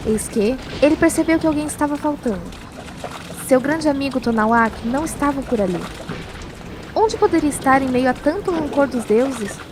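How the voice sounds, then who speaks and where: A woman narrating a scripted audio drama as a storyteller, with measured, deliberate phrasing. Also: high voice, lively intonation, background noise. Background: music.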